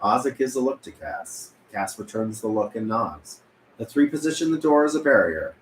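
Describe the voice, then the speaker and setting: A man's voice: mid-range voice, expressive, moderate pace. Echoey male narrator of a screenplay. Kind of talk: scripted narration at a table read. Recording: echoey room, faint background noise.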